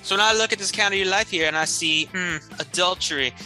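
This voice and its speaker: A woman's voice: fairly low pitch, quick pace, sharp tone, faint music behind, low-quality recording. A woman playing a pompous, preachy judge of sinners who gets flustered, in improvised comedy. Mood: stern, flustered. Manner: preachy, faltering. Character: pompous fire-and-brimstone damnation judge, easily flustered.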